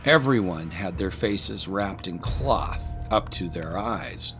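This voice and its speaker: A man's voice: low-pitched, lively intonation, slow pace, over loud music. A man narrating a scripted story in a dramatic storytelling style.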